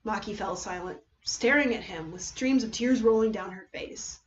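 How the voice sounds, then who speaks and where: A woman reading a story aloud as narrator, with expressive, theatrical delivery. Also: mid-range voice, moderate pace, highs cut off. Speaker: streamer reading fan fiction.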